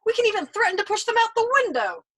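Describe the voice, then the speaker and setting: A woman voicing a smug, pudgy young male airman who boasts loudly. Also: extremely high pitch, sing-song, fast, pitched above the performer's own voice, full character voice. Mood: boastful.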